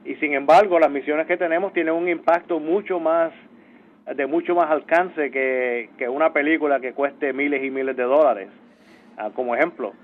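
A Puerto Rican man, a space agency mission coordinator, explaining things in a halting way with many restarts. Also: a little high. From Puerto Rico. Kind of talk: phone interview. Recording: tinny phone line.

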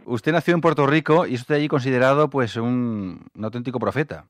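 A man from hosting a radio interview, asking questions in a relaxed, teasing way. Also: mid-range voice, fairly quick, no room sound, studio quality. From Europe. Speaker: radio host.

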